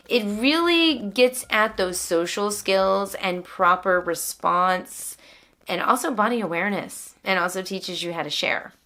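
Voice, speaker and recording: A woman's voice: medium pitch, pushed and bright. A woman hosting a homeschooling vlog, explaining things to other parents in an encouraging way. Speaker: homeschooling mother and vlog host.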